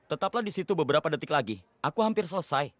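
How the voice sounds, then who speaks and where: Seorang pria menyulihsuarakan pemuda penemu yang tulus dan bersemangat dalam kartun. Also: male voice, on the high side, animated, rapid-fire, no room sound, close to an everyday voice. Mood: earnest. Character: earnest young male inventor, eager gang leader. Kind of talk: cartoon dub.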